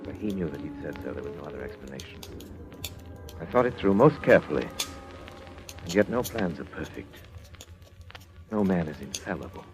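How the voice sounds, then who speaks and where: An actor playing a cold, controlling murderous doctor in an old-time radio suspense drama, speaking formally and deliberately with theatrical weight. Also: male voice, extremely low pitch, animated, rough recording. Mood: grave narration. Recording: vintage radio drama, music underneath.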